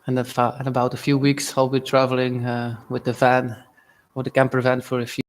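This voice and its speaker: A young man from Belgium, speaking natively, giving casual, halting live-stream commentary on his trades and answering chat. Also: medium pitch, monotone. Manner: casual, halting, reads chat aloud with fillers.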